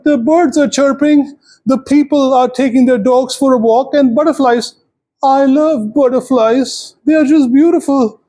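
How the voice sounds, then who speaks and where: A man's voice: very high-pitched, mellow, voice pushed up. A man voicing a fussy, rambling old lady, indignant and chatty, as a character in a told story.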